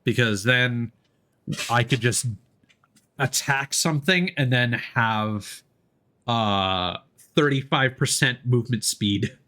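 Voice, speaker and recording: A man's voice: medium pitch, slow, pauses often, bright, forceful tone. A man giving casual, informal, sweary live commentary as a game streamer.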